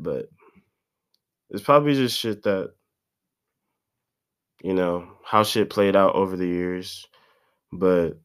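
A man's voice: on the low side, fairly bright tone, clean tone. Congested guy around 20 just riffing solo on his podcast, cussing a lot, very up-and-down delivery. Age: about 20. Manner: rambling, slangy, profane, restarts often. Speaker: podcast host. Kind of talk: casual solo podcast monologue.

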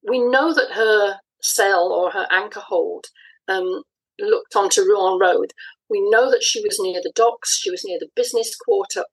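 A woman with a somewhat husky, breathy voice at a middling pitch speaks as an interview guest. She explains things thoughtfully and conversationally, pausing within phrases as she works through each point. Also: low-quality recording. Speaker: interview guest, audio drama writer.

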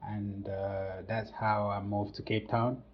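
A young man with a Cameroonian accent, speaking as a podcast guest in a measured way with many pauses. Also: fairly low pitch, flat delivery. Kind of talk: casual interview storytelling. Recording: dull lo-fi sound.

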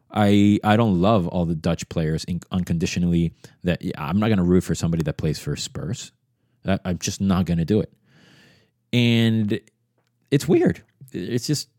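A man's voice: sits low, dark tone, no echo, clean studio sound. A man in his thirties, originally from Venezuela, hosting his own podcast and talking through his thoughts in a reflective, rambling way, often restarting his sentences. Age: 30s. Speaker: podcast host. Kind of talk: casual solo podcast monologue.

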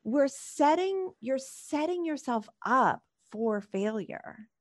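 Brief: woman life coach, higher pitch, probing and reflective, chatty with "like" fillers. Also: lively intonation, takes it slowly. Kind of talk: live coaching session.

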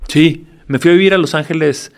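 A Mexican actor in his forties chatting in an interview, relaxed and frank, with slang and frequent tag questions. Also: on the high side, a little fast. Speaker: actor and guest. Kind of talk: casual interview chat.

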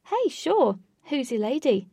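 A woman voicing a cheerful, nosy young woman with a bright, friendly manner. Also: medium pitch, sing-song, measured pace, gravelly, husky, no room sound, studio quality, heavily acted.

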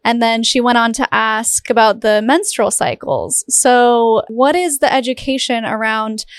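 A woman hosting an interview, asking gentle, probing questions in a conversational way. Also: a little high, fairly even intonation, unhurried, no room sound, studio quality. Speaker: interviewer, show host.

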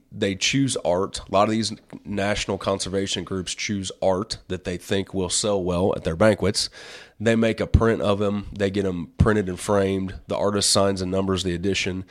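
Male podcast host, 40s, from Illinois, deep and hoarse, rambling casual delivery. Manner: rambling, with many fillers and restarts. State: hoarse from a cold. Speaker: podcast host, wildlife artist. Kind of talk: casual chat.

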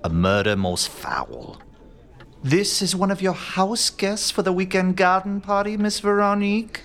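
An actor playing an aloof, self-assured master detective in a comic murder-mystery audio drama, with a haughty, theatrical, deliberate delivery and hushed musing. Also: male voice, high voice, very animated, a little hiss or hum, heavily acted. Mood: confident, grandiose.

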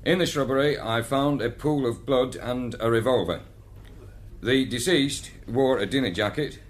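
A man's voice: mid-range voice, normal speed, natural voice. A man playing a dutiful policeman in a radio drama, reporting in a formal, matter-of-fact way. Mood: dutiful, factual.

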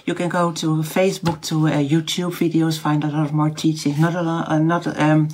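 A woman's voice: sits low, level tone, brisk, a little mellow, a little hiss or hum. A middle-aged Dutch woman, speaking English with a Dutch accent, giving a casual online Bible teaching. She often searches for words, with fillers and restarts. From Netherlands. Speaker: house church teacher.

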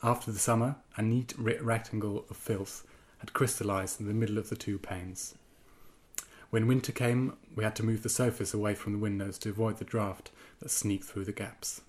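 A man's voice: on the low side, steady tone, moderate pace. A man in his twenties or thirties, originally from Germany, answering interview questions hesitantly with many ums and restarts, then reading from his own piece. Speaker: guest writer.

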